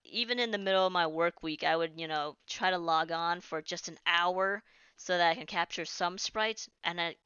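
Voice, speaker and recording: Chatty woman streamer, bright clear voice. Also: lowish voice, moderate pace, no room sound. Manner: rambling, chatty, trails off and restarts. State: starting to lose her voice. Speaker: game streamer. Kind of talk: casual live-stream commentary. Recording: dull lo-fi stream audio.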